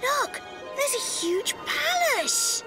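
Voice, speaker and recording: A woman's voice: very high-pitched, sing-song, extremely slow, heavily acted. A woman voicing a cheeky, playful little tomcat who argues like a child, in a cartoon. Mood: dreamy. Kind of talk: cartoon voice acting. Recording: music under the voice.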